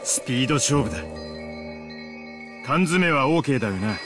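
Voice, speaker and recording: An actor playing a bold, cocky middle-aged man who talks in a rough, casual way. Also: mid-range voice, animated, fast, over music, close to an everyday voice.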